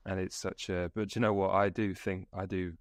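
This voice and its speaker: A young man hosts a podcast from a small room lit by coloured lights, with a low, smooth, clear voice. He sounds upbeat and playful, and the sound is dry. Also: little pitch movement, normal speed, no room sound. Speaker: podcast host. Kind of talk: casual podcast interview. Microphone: video call.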